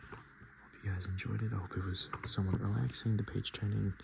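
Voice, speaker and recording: A man softly murmuring and whispering in a casual, rambling ASMR style as he hosts his video. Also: lowish voice, flat delivery, quick pace, gravelly, background noise. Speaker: ASMR video creator and host.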